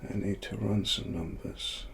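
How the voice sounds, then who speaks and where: A British man playing a grave, brooding cyberpunk investigator in a softly spoken ASMR monologue, breathy and deliberate, with long pauses. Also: on the low side, fairly even intonation, a little hiss or hum, heavily acted. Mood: guarded, pensive. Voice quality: soft, breathy, half-whispered.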